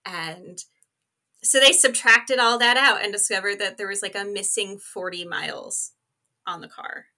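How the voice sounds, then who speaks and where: Woman, podcast guest, strikingly bright and forceful tone, middle pitch, casual storytelling, explanatory, chatty phrasing. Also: steady tone, unhurried. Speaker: podcast co-host as interview guest. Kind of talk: casual conversational case storytelling.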